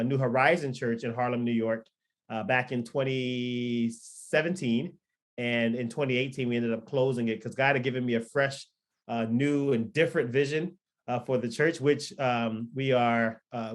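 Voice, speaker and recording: A man in his thirties, a pastor and record producer born in Liberia and raised in Florida, telling his story in a podcast interview with lots of ums and restarts. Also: mid-range voice. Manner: rambling, many ums and restarts. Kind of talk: relaxed testimony in a podcast interview.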